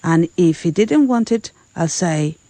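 A woman's voice: lowish voice, expressive, a little slow, dark tone, no room sound. A woman reading a first-person translated account as a voice-over.

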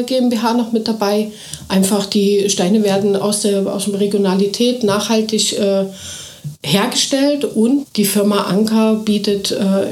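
A woman who runs a kindergarten, answering radio interview questions eagerly and fluently, often filling pauses with short hesitation sounds. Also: medium pitch, a little mellow. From Thuringia, Germany. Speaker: kindergarten director. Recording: echoey room.

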